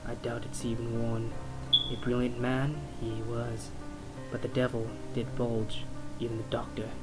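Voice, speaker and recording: A man reads dark rhymed verse as a horror narrator, taking his time with each phrase. His delivery is dramatic and theatrical, at an ordinary male pitch. Plucked guitar music plays clearly behind him. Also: rough recording.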